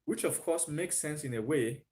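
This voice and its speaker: A man's voice: fairly high pitch, normal speed, warm tone. A man hosting a live stream, thinking aloud with frequent fillers and answering viewer comments. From Nigeria.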